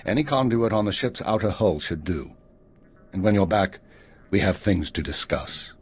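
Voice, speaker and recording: A male actor playing a commanding, weary middle-aged intelligence chief in a video game, husky and brisk. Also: low-pitched, expressive. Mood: stern, businesslike. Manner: brisk, authoritative.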